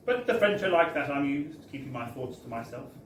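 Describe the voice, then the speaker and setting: A man's voice: medium pitch, animated, a little fast. A British man reading a wry first-person comic story aloud at a live event, in a chatty, theatrical storytelling manner. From Britain. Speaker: reader at a book launch. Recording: echoey live bar, distant mic, faint noise.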